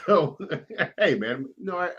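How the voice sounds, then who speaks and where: Texan male host, slangy laughing storyteller, bright edge, muffled lo-fi audio. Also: fairly high pitch, big pitch swings, unhurried, breathy edge. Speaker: show host. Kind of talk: casual sports chat.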